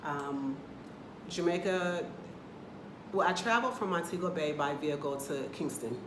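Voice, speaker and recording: Low-voiced chatty American woman. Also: normal speed, roomy sound, background noise. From United States, Atlanta area. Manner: chatty, candid, talks in loose run-on asides. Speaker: travel vlogger. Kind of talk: casual to-camera vlog. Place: indoors in a rental apartment.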